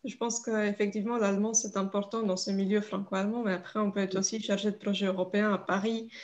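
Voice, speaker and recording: Moderator, woman, polite brisk delivery, muffled call audio. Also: medium pitch, level tone, darkish tone. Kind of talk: moderating an online panel.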